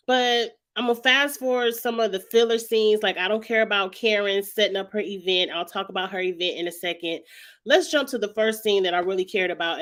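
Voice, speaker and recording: Young woman, casual recap host, slightly raspy from a cold, chatty, clears throat often. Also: medium pitch, fairly bright tone. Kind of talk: casual vlog chat.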